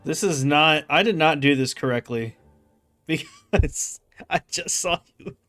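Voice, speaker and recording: A young man streaming a game, reacting with excitable, slangy jokes and quick asides. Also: on the high side, soft background music. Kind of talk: casual reaction commentary.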